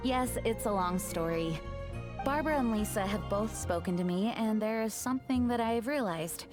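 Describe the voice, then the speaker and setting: A woman's voice: medium pitch, animated, normal speed, music in the background, natural voice. A woman voicing a composed, dutiful young knight commander in an anime game, formal and earnest, caring but firm.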